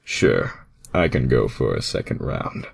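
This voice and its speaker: A man's voice: a bass voice, sing-song, measured pace, creaky, breathy edge, voice pushed down, full character voice. A man voicing an easygoing hedgehog hero in fan fiction, with an agreeable, slightly hesitant manner. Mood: pleased. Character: easygoing male hedgehog hero from a video game.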